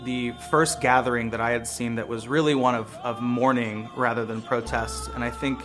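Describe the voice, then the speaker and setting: An American man, a lawyer and street photographer, speaking in an earnest, measured, reflective way in a documentary interview. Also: mid-range voice, fairly even intonation. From United States. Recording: music bed playing behind voice.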